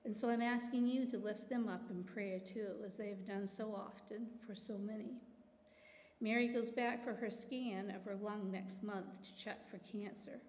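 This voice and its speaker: A woman's voice: mid-range voice, a little mellow. Middle-aged woman, heartfelt church testimony, roomy hall echo. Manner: earnest, emotional, fighting back tears. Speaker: church member giving testimony. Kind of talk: personal testimony to a congregation. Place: large church sanctuary. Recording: echoey hall with long reverb.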